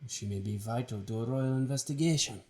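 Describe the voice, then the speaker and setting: A terse, duty-bound general who talks in short, formal lines, voiced by a man. His voice is mid-pitched, and his delivery is audio-drama acting. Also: a little fast, mellow, lightly acted. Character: stern male general on a royal mission.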